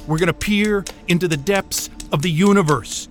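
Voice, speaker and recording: A man playing a troubled, obsessive astrophysicist unravelling alone at a polar station, intense and halting in a dramatic trailer. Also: high voice, faint music behind, lightly acted. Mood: determined.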